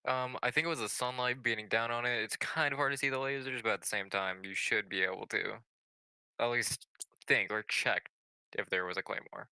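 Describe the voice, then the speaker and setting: A man's voice: mid-range voice, fairly even intonation, brisk, bright, forceful tone, clean tone, no echo, rough recording. A man working as an esports analyst, giving conversational, opinionated commentary on a live video game match.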